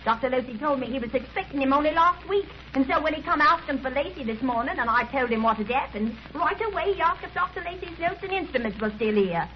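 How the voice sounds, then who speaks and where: A woman's voice: high-pitched, lively intonation, fast talker, few pauses. An actress playing a chatty, fretful working-class landlady in a folksy, exclaiming manner, in 1930s radio drama style. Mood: chatty, deferential. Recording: noisy, muffled 1930s radio recording.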